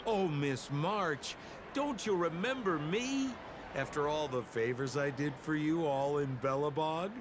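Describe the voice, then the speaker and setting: An actor playing a sly, smooth-talking young swindler in a video game, flamboyant and ingratiating like an oily salesman. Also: fairly high pitch, a little slow, lightly acted. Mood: playful, ingratiating. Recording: music behind the voice.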